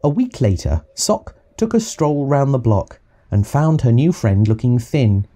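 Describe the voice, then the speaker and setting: A man reads a rhyming picture book for young children. His voice is mellow and medium in pitch, with very lively intonation. He keeps a clear, expressive rhythm through the verse. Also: measured pace. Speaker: storyteller reading to young children. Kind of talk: scripted children's audiobook narration.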